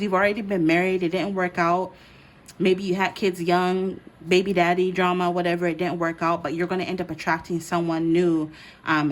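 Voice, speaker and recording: A woman from the United States and Ghana, giving a casual, conversational card reading as she speaks directly to her listeners. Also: lowish voice. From born in the United States, grew up in Ghana. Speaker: host, tarot card reader.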